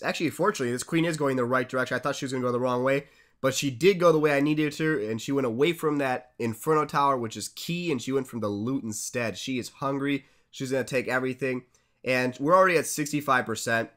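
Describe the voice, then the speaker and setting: A man's voice: medium pitch, fairly bright tone. Congested young man commentating a game. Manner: casual, chatty tips with upbeat asides. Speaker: gaming channel host. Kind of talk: game commentary over replays.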